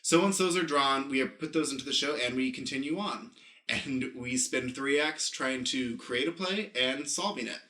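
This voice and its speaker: Bright-voiced man hosting a podcast. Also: mid-range voice, moderate pace, roomy sound. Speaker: podcast host. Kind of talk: scripted-sounding announcing with casual asides.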